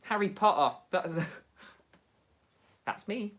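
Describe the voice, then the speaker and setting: A man with an English Midlands accent hosting a casual livestream from home, chatting excitably and laughing often as he answers viewers. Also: high voice, very slow. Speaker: livestream host. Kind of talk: casual livestream chat reading viewer comments.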